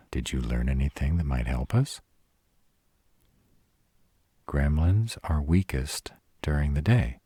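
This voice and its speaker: A man's voice: very deep voice, dark tone, husky, no room sound, exaggerated voice. A man playing a protective, devoted but brash were-dragon lover in a roleplay audio drama, speaking huskily and bluntly, with tenderness for a partner. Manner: slow, crude-tongued, tender with partner.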